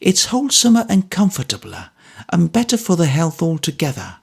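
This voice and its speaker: A man voicing a warm, quick-witted soldier's wife who speaks with motherly fondness. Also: fairly high pitch, huge pitch swings, creaky, slightly rough, voice pushed up, full character voice. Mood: fond. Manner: quick, motherly, fond exclamations. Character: older woman, warm, brisk soldier's wife.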